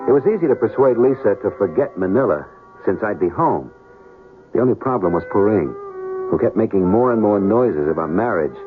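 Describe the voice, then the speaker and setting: A man's voice: lowish voice, animated, a little fast, close to an everyday voice. An actor playing a cynical, philandering American military pilot who tells his own story in a radio drama. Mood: rueful, brooding. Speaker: lead actor and first-person narrator. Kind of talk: old-time radio drama acting and narration. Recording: muffled radio recording with music.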